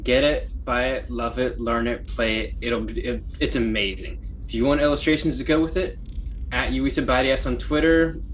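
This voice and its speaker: A man hosting a podcast, rambling through a casual recap with laughter and swearing. His voice sits at a middle pitch. A faint hiss runs under the recording. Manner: laughing, rambling, profane asides. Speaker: host. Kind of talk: casual chat, reading plugs.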